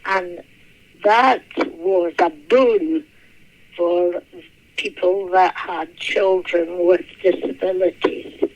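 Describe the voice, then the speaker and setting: An elderly woman, reminiscing in a halting, musing, creaky voice. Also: fairly low pitch, darkish tone. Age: 80s or older. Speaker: interviewee recalling childhood memories. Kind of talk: reminiscing interview. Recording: muffled, lo-fi radio-like sound.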